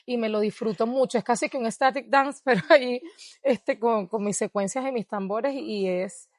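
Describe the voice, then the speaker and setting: A woman's voice: fairly high pitch, normal speed, no room sound, studio quality. A Venezuelan woman with a Venezuelan Spanish accent, answering interview questions in a reflective way and laughing often. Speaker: guest, dancer and researcher. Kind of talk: interview conversation.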